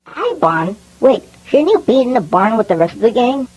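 A woman's voice: a little high, big pitch swings, normal speed, a little mellow, slightly rough, no room sound, dull audio. A woman voicing a chirpy cartoon clown girl in a game, busy and organizing. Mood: cheerful.

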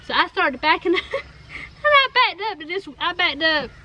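Southern woman in her forties, bright and high, lively storyteller who keeps saying y'all; outdoor camera-mic sound. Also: moderate pace, slight background noise. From North Carolina. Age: 40s. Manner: animated storyteller, addresses viewers as y'all. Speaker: co-host. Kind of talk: casual storytelling chat. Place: outdoors, seated in a shaded golf cart. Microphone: fixed camera in front.

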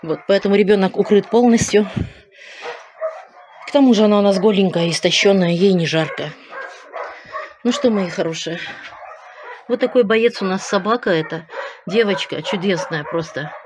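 A woman at an animal shelter talks to viewers in a soft, tender, reassuring way as she tends a sick dog. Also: mid-range voice, moderate pace, long pauses. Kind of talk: addressing viewers while caring for a sick dog. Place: shelter room indoors. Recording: loud background noise.

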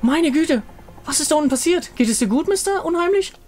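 A man's voice: very high voice, expressive, fairly quick, darkish tone, faint music behind, voice pushed up. A man voicing a sweet, curious, polite young girl with a naive manner in a fantasy game story. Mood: curious, concerned.

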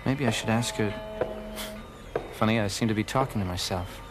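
An actor playing a dazed, hard-boiled private detective, wry and hesitant, in film-noir parody style. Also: male voice, fairly low pitch, moderate pace, over music, lightly acted. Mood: wry, brooding.

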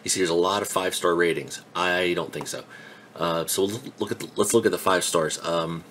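A man's voice: deep voice, steady tone, a little fast, a bit bright, a little hiss or hum. A man giving a casual hands-on tool review at his workbench, rambling and skeptical, full of ums and restarts. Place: indoor workbench.

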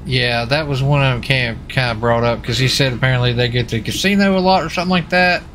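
A man with a medium-pitched voice improvises as a police officer in an online game. He questions people casually and haltingly, rambling a little. Faint ambient noise sits behind him. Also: a bit bright. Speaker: streamer playing a police marshal in roleplay.

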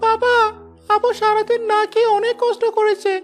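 A woman's voice: extremely high pitch, flat delivery, normal speed, soft background music. A woman voicing a caring little boy in a cartoon, earnest and pleading. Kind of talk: cartoon dub acting.

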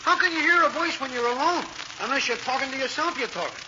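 An actor playing a chatty, street-wise cab driver with brash slang in an old radio play. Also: male voice, very high voice, quick pace. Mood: joking. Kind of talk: 1940s radio acting. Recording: dull, hissy old radio recording.

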